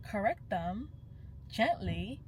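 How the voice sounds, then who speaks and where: A woman's voice: mid-range voice, very animated, slow, a little mellow, a little hiss or hum. Passionate young woman. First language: Yoruba. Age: young adult. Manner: passionate and emphatic, slipping into Yoruba phrases. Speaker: vlogger. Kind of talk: casual vlog monologue.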